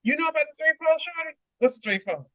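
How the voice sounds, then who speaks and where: A woman's voice: high-pitched, expressive, quick pace, a bit bright, no room sound. A woman co-hosting a Bible read-along, speaking informally in casual chat and reading aloud, on a muffled home stream mic.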